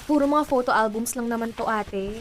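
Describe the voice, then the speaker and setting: A woman's voice: a little high, brisk, a little hiss or hum, lightly acted. A woman playing a curious, persistent, slightly pouty younger sister in a Filipino radio drama. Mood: wistful, chatty. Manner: inquisitive, pleading, pouty.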